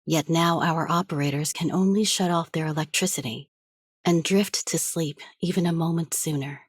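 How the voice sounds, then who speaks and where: Game casting: dignified, resolute woman leader, very low husky voice, grave and formal. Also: fairly even intonation, moderate pace, no room sound, studio quality. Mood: solemn, nostalgic. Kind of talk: scripted game voice lines.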